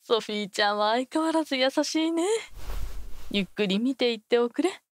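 ボイスドラマで、世話好きで気さくな中年の女店主を演じる女性。. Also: female voice, on the high side, expressive, moderate pace, dry recording, close to an everyday voice. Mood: warm, chatty. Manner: homely, auntie-like speech. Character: kindly, hearty middle-aged woman shopkeeper. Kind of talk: Japanese voice drama acting.

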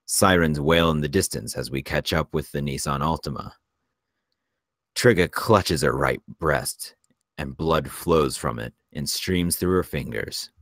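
A man with an extremely low voice narrates a screenplay's action lines. His reading is measured and scripted. The recording is dry, with very little room sound. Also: a bit bright, no room sound. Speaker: narrator reading stage directions.

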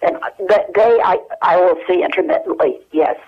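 Older woman, phone-in guest, halting and chatty; muffled telephone sound. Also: lowish voice, breathy edge. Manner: rambling, halting with many fillers. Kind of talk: telephone interview.

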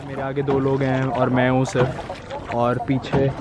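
A man's voice: mid-range voice, monotone, measured pace, background noise. A young man from India in his twenties, chatting candidly in a casual travel vlog, thinking aloud with hesitations, filmed on a handheld camera outdoors. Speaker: travel vlogger. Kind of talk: casual travel vlog, Hindi-English mix. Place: outdoors on a boat and paths among hills. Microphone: handheld camera at arm's length. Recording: background music over outdoor sound.

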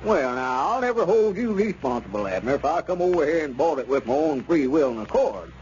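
An actor playing a sly, unctuous small-town squire who talks in a hesitant, roundabout way in radio comedy. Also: male voice, a little high, very animated, brisk, a little mellow. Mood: wheedling. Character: wheedling small-town squire, sly real-estate dealer. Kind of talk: 1930s radio comedy acting. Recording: dull, noisy old radio recording.

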